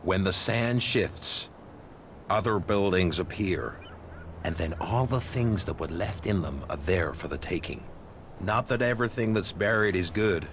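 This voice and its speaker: An actor playing a worldly, wary desert historian in a video game, explaining in a measured way. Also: male voice, sits low, animated, slight background noise. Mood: storytelling, intrigued. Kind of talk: expository game dialogue.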